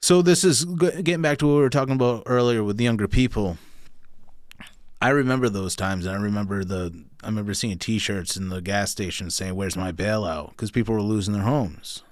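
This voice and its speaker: A man's voice: lowish voice, fairly quick, no echo, clean studio sound. A male podcast host in a casual interview, talking conversationally and asking follow-up questions.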